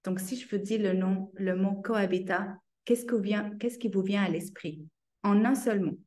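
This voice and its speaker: A woman's voice: medium pitch, moderate pace, darkish tone. A woman hosting an online information session, upbeat and engaging the audience. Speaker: host and moderator. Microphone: video call.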